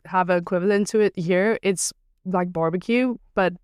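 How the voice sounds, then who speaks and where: A woman's voice: lowish voice, normal speed, darkish tone, clear, resonant tone, no room sound, studio quality. A young woman from South Africa, a native Afrikaans speaker with a South African accent, guesting on a podcast and explaining things with many ums and likes. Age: young adult. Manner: hesitant, many ums and likes, laughs easily. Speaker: guest athlete. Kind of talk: casual podcast chat.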